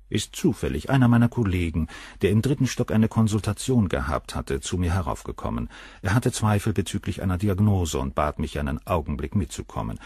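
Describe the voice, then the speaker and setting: A deep-voiced defensive professor. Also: male voice, a little mellow, husky, no room sound, studio quality, exaggerated voice. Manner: precise, argumentative self-defence. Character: defensive middle-aged male professor. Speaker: professor and doctor.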